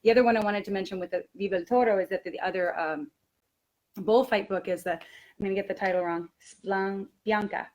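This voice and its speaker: A woman with a medium-pitched voice gives an informal teaching presentation. She sounds like a language teacher explaining her methods conversationally, thinking aloud as she goes. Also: steady tone, brisk, a little mellow.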